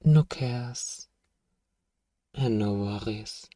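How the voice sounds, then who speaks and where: A man playing a powerful, manipulative vampire in an ASMR roleplay, theatrical and commanding, with a softly menacing, condescending manner. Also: fairly low pitch, very slow, no echo, clean studio sound, far from the mic. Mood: hypnotic soothing. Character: powerful suave manipulative male vampire, commanding yet coaxing. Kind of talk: ASMR roleplay, scripted hypnotic monologue.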